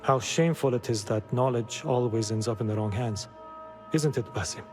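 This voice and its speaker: An actor playing a shady, self-justifying informant in a video game, smooth-talking and evasive. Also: male voice, medium pitch, normal speed, over music, close to an everyday voice. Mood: evasive, earnest. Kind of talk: dramatic game cutscene acting.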